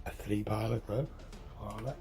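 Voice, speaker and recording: A hoarse man getting over a cold walks through a tabletop game setup, halting, correcting himself and clearing his throat. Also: lowish voice, steady tone, music in the background. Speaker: tabletop game tutorial host. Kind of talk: casual step-by-step game setup walkthrough.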